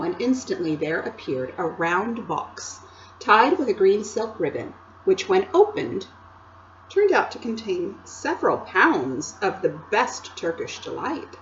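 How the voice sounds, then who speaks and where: A woman teacher reads a chapter book aloud in a slow, unhurried way. Her voice rises and falls a great deal as she tells the story, adding chatty asides to her young listeners. The recording is dull and lo-fi, with a faint hum behind her. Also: fairly low pitch. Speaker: teacher reading to children.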